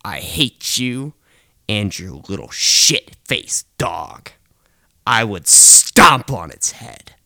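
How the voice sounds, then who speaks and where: A man's voice: on the low side, sing-song, extremely slow, creaky, pushed and bright, no echo, studio quality. A young man delivering a seething, hateful rant, often dropping into a hissed whisper.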